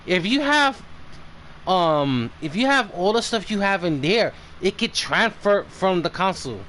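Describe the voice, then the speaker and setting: A man chatting casually as he live streams a game, speaking in a hesitant, halting way. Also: high-pitched, expressive, fairly bright tone. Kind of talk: casual chat while playing. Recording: faint background noise.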